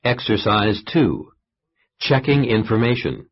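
A low-voiced man reads lesson instructions slowly and formally, with a husky tone and wide pitch swings. The tape sound is slightly dull and lo-fi. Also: sing-song. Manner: measured, formal announcing. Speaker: exercise announcer. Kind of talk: scripted instructional narration. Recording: muffled lo-fi tape.

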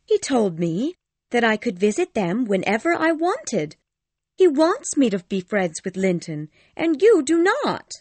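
A man voicing an eager, innocent, headstrong teenage girl in storybook dialogue, bright and insistent. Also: extremely high pitch, huge pitch swings, unhurried, dry recording, pitched above the performer's own voice, exaggerated voice. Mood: protesting.

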